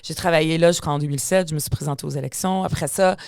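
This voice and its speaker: A low-pitched woman in her forties with a Quebec French accent, raised in Lebanon with Palestinian Arabic as her first language. She tells her story candidly and with energy, often restarting her sentences. Her voice sits close and dry on the microphone. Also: fairly even intonation, fast, vocal fry, darkish tone, clear, resonant tone, no room sound. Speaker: guest politician. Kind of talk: casual conversational interview.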